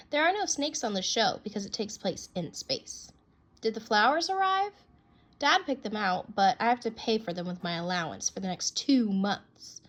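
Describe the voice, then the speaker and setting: A woman's voice: mid-range voice, natural voice. A woman voicing a child who writes a grudging, earnest apology letter, read expressively as a storybook. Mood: earnest, self-justifying, then contrite, explaining.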